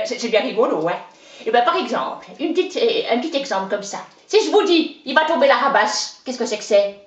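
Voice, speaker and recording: A woman playing a chatty, boastful older countrywoman with a Franc-Comtois accent, rambling in a folksy way. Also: high-pitched. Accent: Franc-Comtois (Haut-Doubs). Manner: folksy, rambling, peppered with "hein" and "oh ben". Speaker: interviewee. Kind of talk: comic character interview. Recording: roomy, dull lo-fi sound.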